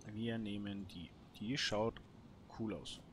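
A man's voice: on the low side, fairly even intonation, slow, noisy background. A man giving casual gameplay commentary, thinking aloud in a colloquial, musing way. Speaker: gamer, let's play host.

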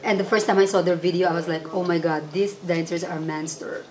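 A woman's voice: lowish voice, fairly even intonation, brisk, a little mellow, a little hiss or hum, low-quality recording. Chatty Filipino woman eating at home. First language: Tagalog. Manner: chatty, mixing English and Tagalog, laughing often. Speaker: vlog co-host. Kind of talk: casual chat over a meal. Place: home dining table, indoors.

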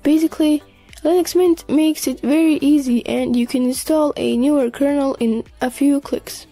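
A woman reading scripted narration for a tech news video in a measured, explanatory way. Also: high voice, steady tone, mellow, dry recording, faint music behind.

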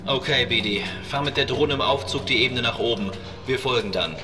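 A man playing a calm, careful spaceship captain in a sci-fi horror audio drama. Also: mid-range voice, a little fast, close to an everyday voice. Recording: slightly distant, music underneath.

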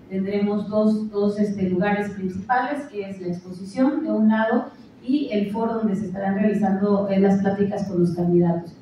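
A woman in her thirties with a Mexican accent announces event plans at a press conference, speaking formally and steadily with frequent "eh" pauses into a handheld mic at a panel table. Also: mid-range voice, slight background noise. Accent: Mexican Spanish. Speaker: chamber president announcing an event. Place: large indoor conference hall. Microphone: handheld mic at a panel table, filmed from a far camera. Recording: echoey hall, distant and dull.

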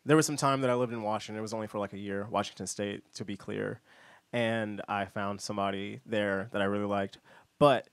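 A man's voice: fairly low pitch, fairly even intonation, fairly quick, smooth, no echo. A young man in his twenties rapping a song live into a handheld mic in a small indoor studio room. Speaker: rapper. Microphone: handheld mic close to mouth. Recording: loud live music behind. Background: band music.

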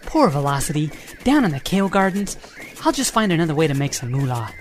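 A woman's voice: low voice, very animated, fast talker, a little hiss or hum. A woman voicing a cocky, carefree young male hedgehog hero with breezy, slangy delivery in an amateur radio drama. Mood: musing.

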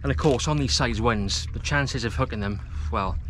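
A man's voice: medium pitch, moderate pace, a bit bright, slight background noise. A man in his thirties chatting casually to camera while fishing outdoors on a rocky seawall, commenting on the fish and his bait. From Wales. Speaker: fishing vlogger. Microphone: camera on a tripod at a short distance.

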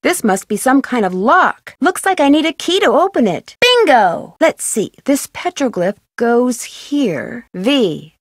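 Young female detective for adventure game: American, eager, wholesome, very lively intonation, dry close sound. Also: sits high, unhurried, few pauses, dry recording, heavily acted. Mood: delighted. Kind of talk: scripted video game voice acting, thinking aloud.

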